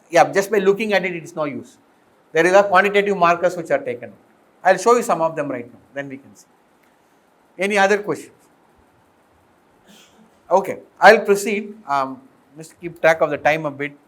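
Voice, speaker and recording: Higher-pitched Indian man lecturing. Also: moderate pace, long pauses, fairly bright tone. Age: middle-aged. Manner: explanatory, often posing questions and checking understanding. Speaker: professor, workshop lecturer. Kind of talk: informal technical lecture with questions to the class. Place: indoor lecture room with green chalkboard. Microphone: fixed or worn mic, voice drifting as he turns.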